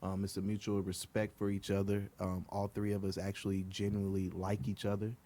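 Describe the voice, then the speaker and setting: Laid back guy from liberia on a podcast, low steady voice, lots of ums. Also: brisk. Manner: relaxed, earnest, many ums. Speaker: guest, outdoor group co-founder. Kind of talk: casual podcast interview.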